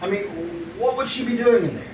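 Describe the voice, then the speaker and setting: An actor playing a skeptical, wisecracking newspaper photographer in an old-time radio drama. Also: male voice, very high voice, lively intonation, a little fast. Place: community theater stage. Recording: roomy, noisy, distant live stage recording.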